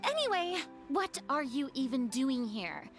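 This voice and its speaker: A woman's voice: sits high, very animated, a little slow, soft background music, full character voice. An actress voicing a proud, theatrical young woman who was once a goddess, with a haughty, dramatic delivery. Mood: indignant. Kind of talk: game voice acting.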